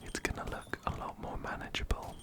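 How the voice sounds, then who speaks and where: A man whispering softly and breathily into a close binaural microphone, giving gentle ASMR game commentary and often repeating words rhythmically. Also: fairly quick. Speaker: ASMR gaming video host. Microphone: close-up binaural microphone, ear to ear.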